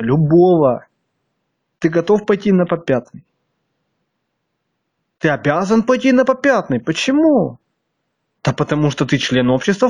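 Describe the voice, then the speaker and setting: A man's voice: high-pitched, darkish tone, husky, dull audio. A man with a slightly stuffy nose delivering a blunt, crude monologue to his viewers, hammering them with rhetorical questions. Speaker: video blogger.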